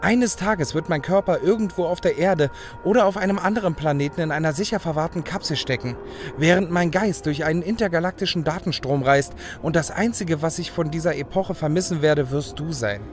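A man's voice: fairly high pitch, brisk, soft background music, lightly acted. An actor playing a cynical, proud young male hacker turning elf in an audio drama, brooding and self-assured. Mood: earnest, visionary. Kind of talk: German audio drama acting.

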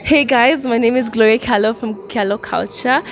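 A young woman with a Kenyan accent giving a casual, friendly shout-out into a handheld mic. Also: fairly high pitch, normal speed, noisy background. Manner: casual friendly greeting to camera. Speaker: guest giving a channel shout-out. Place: indoor event backdrop. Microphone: handheld branded mic. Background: music.

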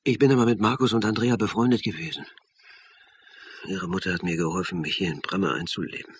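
An actor playing a middle-aged, conscientious and intense amateur sleuth in a German radio crime drama, husky and earnest. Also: male voice, low voice, steady tone, fast. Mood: anguished, guilty.

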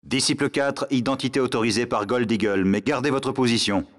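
An actor voicing a stern male command operator in a video game, formal and measured over the radio. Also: mid-range voice. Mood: stern, businesslike. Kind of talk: scripted military radio chatter.

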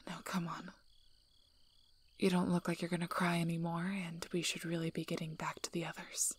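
A woman playing a guarded, gruff but caring young werewolf alpha in a soft-spoken ASMR roleplay, hesitant and often close to a whisper. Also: on the low side, level tone, a little hiss or hum, natural voice. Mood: awkward, guarded. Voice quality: husky, breathy, often near whisper. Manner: hesitant, halting, understated.

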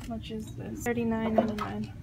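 A woman's voice: on the high side, little pitch movement, fast, creaky, heavy background noise. A young woman vlogging casually while shopping, gushing over items and reading prices aloud into her handheld phone. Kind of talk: casual shop-with-me commentary. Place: home decor stores, indoors. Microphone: handheld phone camera.

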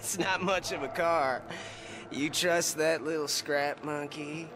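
An actor playing a wry, wary, erratic stronghold boss in a video game, with a taunting drawl. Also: male voice, on the high side, steady tone, slow pace, over music. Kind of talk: video game character acting.

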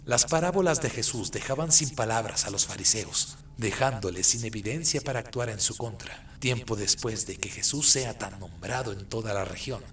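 A man's voice: fairly low pitch, moderate pace, few pauses, fairly bright tone, slight background noise. A man delivering scripted dramatic narration as the narrator of a radio drama.

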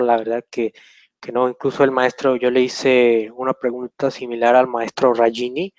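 A man's voice: mid-range voice, a little mellow, no echo. A young man hosting a casual question-and-answer session over a video call from a small room, rambling and hesitant with many fillers. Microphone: webcam on a video call.

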